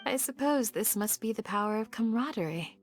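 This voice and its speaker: A woman playing an earnest, supportive young village guardian in an anime game, speaking in a measured, formal, sincere way. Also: a little high, lively intonation, soft background music. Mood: earnest, moved.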